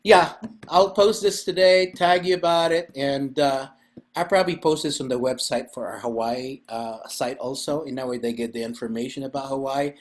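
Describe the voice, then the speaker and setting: A man's voice: mid-range voice, moderate pace. Chatty man hosting a podcast. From Philippines. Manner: rambling, chatty, laughs often. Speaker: podcast host and festival organizer. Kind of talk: casual chat.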